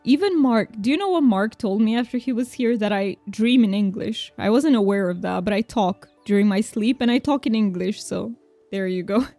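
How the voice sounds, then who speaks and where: A woman's voice: medium pitch, normal speed, mellow, no echo, faint music behind. A young woman whose first language is Romanian, chatting casually and reassuringly to viewers while playing a game, laughing often. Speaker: streamer. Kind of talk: casual game stream chat.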